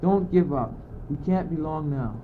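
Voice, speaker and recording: A man's voice: a little high, lively intonation, a little slow. Teenage boy acting pedantic courtier, tinny noisy recording. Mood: emphatic, exasperated. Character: argumentative, muddled but bossy male courtier, reasoning pedantically. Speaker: student actor. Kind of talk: amateur school radio play. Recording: muffled, tinny old amateur recording, noisy.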